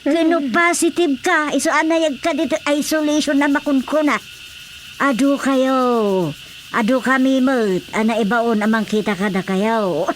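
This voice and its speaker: An actress playing a fussing woman in a hospital ward in a radio drama, exclamatory and drawn-out. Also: high voice, slight background noise. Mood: brisk, teasing. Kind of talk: Ilocano radio drama acting.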